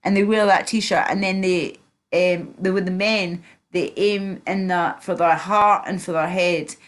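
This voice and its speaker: A woman's voice: lowish voice, fairly even intonation, measured pace. A woman with a Glaswegian Scottish accent hosting a casual livestream chat, rambling and blunt, swearing freely. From Scotland. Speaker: livestream host.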